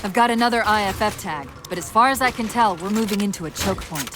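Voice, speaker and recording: A woman's voice: medium pitch, fast talker, very noisy, lightly acted. An actress playing a clever, observant female AI companion in a video game, analytical and articulate.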